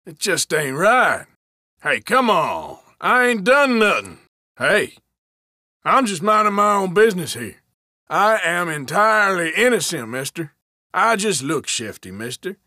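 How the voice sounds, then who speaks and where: A defiant Old West outlaw from a video game. He speaks in an unhurried American Western drawl, surly and evasive, with an edgy, forceful tone and pitch that swings widely. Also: sing-song, no room sound, clean studio sound, exaggerated voice. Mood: indignant, surly, then pleading innocence. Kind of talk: video game character voice lines.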